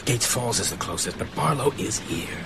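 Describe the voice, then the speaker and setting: An actor in an audio drama playing a determined, jittery man hunting vampires, urgent and halting as he thinks aloud. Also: medium pitch, animated, vocal fry. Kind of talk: broadcast audio drama acting. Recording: loud sound-effect noise behind voice.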